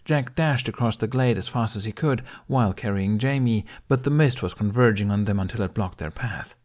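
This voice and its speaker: A man reading a fantasy story aloud as narrator, in an unhurried, measured way. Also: fairly low pitch, darkish tone. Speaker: fan fiction audiobook reader.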